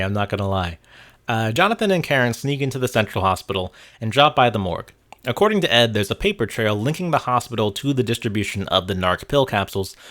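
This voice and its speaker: A man's voice: medium pitch, fairly bright tone. A man narrating a casual scripted video game retrospective, with wry, sarcastic asides.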